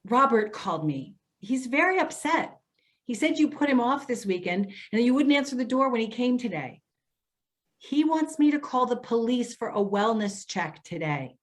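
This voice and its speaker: A woman's voice: a little high, big pitch swings, normal speed. A woman playing a patient, concerned social worker in a readers theater drama, speaking in an earnest, coaxing way. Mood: urgent, worried. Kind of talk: readers theater stage acting.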